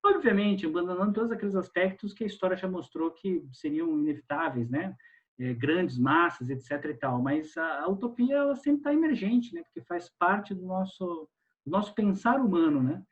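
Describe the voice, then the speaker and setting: A Brazilian man appearing as a guest professor, speaking in a measured way and often ending with tag questions. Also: high voice, animated, muffled recording. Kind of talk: casual discussion show.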